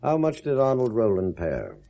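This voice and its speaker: An actor in a 1970s British radio drama playing a sceptical police inspector, blunt, wry and insistent, with a British accent. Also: male voice, mid-range voice, huge pitch swings, fairly quick, creaky, warm tone, highs cut off. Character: sceptical, probing male police detective inspector.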